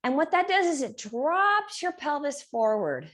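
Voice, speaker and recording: A woman leads a yoga class with a fairly high voice, giving calm step-by-step cues. She has been losing her voice and clears her throat now and then. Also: measured pace. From Reno area, United States. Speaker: yoga teacher. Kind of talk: guided yoga class instruction.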